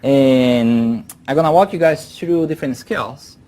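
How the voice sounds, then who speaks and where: Brazilian man, Portuguese-accented English, easygoing professor presenting his research to a room, mid pitch. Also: unhurried. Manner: relaxed, informal, repeats words while thinking. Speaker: guest speaker, professor. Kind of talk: academic presentation. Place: lecture room.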